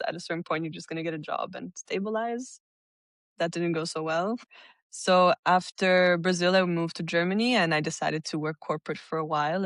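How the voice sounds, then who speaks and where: Reflective young woman, low and dry. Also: dry recording. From Italy and the United States. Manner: open, reflective storytelling. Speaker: podcast guest. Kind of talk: conversational interview.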